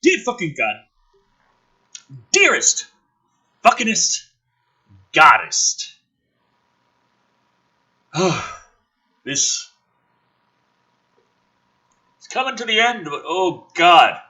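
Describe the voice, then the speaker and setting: Animated, high-pitched man ranting in exasperation. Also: drawn-out words, pauses often. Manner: exasperated, sweary, sarcastic. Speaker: host of a video script-review series. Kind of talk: ranting commentary mixed with dramatic script reading.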